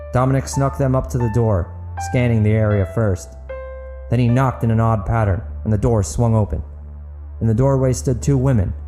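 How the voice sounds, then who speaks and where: A man's voice: mid-range voice, mellow. A man narrating fiction and hosting his own podcast, in the style of a storyteller addressing listeners. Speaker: author, podcast host and narrator. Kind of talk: dramatic audiobook narration and podcast outro.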